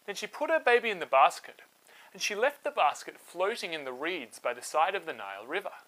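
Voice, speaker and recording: A man hosting and narrating a scripted story for children, with dramatic, clearly phrased delivery. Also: high voice, sing-song, brisk, sharp tone, rough recording. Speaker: storyteller, host.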